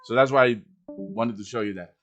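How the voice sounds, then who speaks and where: Male tutorial presenter, mid-pitched, informal and step-by-step, tossing in casual asides as in a live stream. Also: a little fast, a bit bright, dull audio, faint music behind. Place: live-streamed voice chat with screen share.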